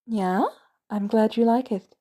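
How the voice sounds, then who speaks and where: Storybook role: wry, unbothered woman, acted by a female reader, mellow tone, dry understated delivery. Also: a little high, a little slow. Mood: casual, kind. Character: cool, wry, easygoing woman with dry humour.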